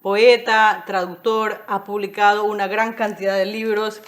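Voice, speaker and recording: A woman's voice: medium pitch, flat delivery, a little slow. A woman hosting a cultural interview program, asking formal questions with some hesitation. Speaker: interview host.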